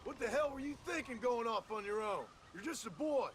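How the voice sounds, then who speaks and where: A man playing a stern, weary ex-outlaw father in a video game, gruff and blunt, with a gravelly American Western drawl. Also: very high voice, lively intonation, a little fast, a bit bright, slight background noise. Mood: scolding, stern. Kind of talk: video game cutscene acting.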